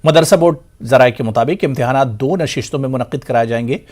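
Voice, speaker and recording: A man reading scripted news as an anchor, in a formal and measured manner. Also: medium pitch, a little mellow.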